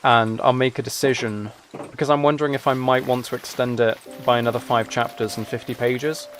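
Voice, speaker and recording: A man's voice: mid-range voice, flat delivery, a little fast, a bit bright, slight background noise. A man chats casually with viewers as he plays a game, rambling and musing aloud. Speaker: live streamer. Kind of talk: casual chat with viewers while gaming.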